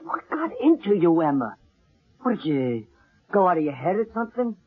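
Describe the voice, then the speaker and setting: An actor playing a blunt, streetwise talent agent, a raspy man talking slang in an old-time radio drama. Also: sits high, sing-song, moderate pace, heavily acted. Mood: exasperated, scolding. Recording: muffled old radio broadcast.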